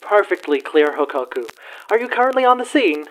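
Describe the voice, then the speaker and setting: An actor plays an earnest evening news anchor in a radio drama. The phrasing is formal and theatrical, delivered in measured announcer fashion at a medium pitch. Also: very animated, slight background noise, natural voice, poor audio. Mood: attentive, formal.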